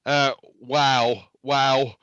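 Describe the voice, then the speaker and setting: A man's voice: mid-range voice, level tone, very slow, fairly bright tone, clear, resonant tone, no room sound. A man giving an effusive, grateful testimonial on a video call. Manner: effusive, many fillers. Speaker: company founder. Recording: dull lo-fi video call audio.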